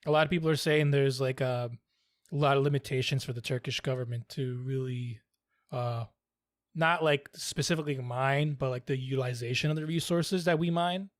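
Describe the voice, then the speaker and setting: A man's voice: mid-range voice, fairly even intonation, normal speed, no echo. A young man co-hosting a podcast, rambling and searching for words as he asks questions. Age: young adult. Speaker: podcast co-host. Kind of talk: casual chat, asking questions.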